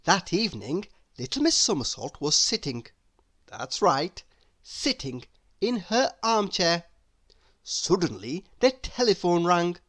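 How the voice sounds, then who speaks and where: A woman reading a children's story aloud expressively, addressing young listeners with dramatic pauses. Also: on the low side, big pitch swings.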